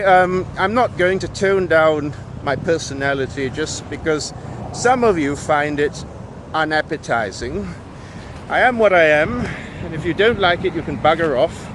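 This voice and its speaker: Man, Malaysian Peranakan English accent, emphatic and wry monologue, higher pitch, clear street noise behind. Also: a bit bright. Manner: emphatic, wry, with frequent restarts. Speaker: video essayist. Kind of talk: monologue to camera.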